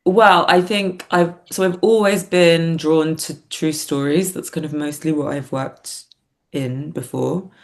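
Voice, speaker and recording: A woman's voice: deep voice, fairly even intonation, unhurried. A woman talking reflectively as an interview guest, hesitant, thoughtful and self-effacing. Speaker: podcast guest, playwright and performer.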